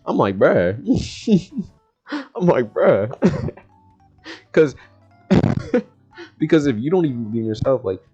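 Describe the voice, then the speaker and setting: A man's voice: medium pitch, very animated, slow, pauses often, warm tone, breathy edge. A young man giving a casual, slangy self-help talk, rambling and circling back over his points. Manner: rambling and repetitive, often chuckling mid-sentence. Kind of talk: casual, slangy motivational chat to the audience. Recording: dull, lo-fi sound with music underneath. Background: background music.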